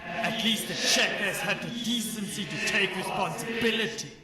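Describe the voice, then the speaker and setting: Man voicing an echoey, high-pitched ghost mercenary. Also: moderate pace, close to an everyday voice. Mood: grave, bitter. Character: ghost mercenary archer, male elf, stoic yet wry and loyal. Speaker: game follower character. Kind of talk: scripted fantasy game dialogue. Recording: echoey voice under loud music.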